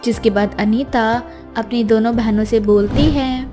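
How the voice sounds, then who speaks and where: A woman's voice: fairly high pitch, fairly even intonation, a little slow, mellow. A woman narrating a scripted children's fairy tale as storyteller. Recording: music behind the voice.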